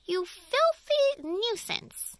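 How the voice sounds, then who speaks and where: A woman's voice: very high voice, very animated, drawn-out words, dry recording, voice pushed up, full character voice. A woman voicing a cruel, spiteful aunt from a children's storybook, scornful and scolding. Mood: contemptuous.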